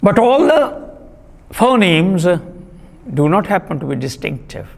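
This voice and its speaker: A man giving a classroom lecture on literary theory, deliberate and repetitive, pausing with fillers. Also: high voice, very animated, creaky, in a large hall. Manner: deliberate, repeats key terms, frequent uh fillers.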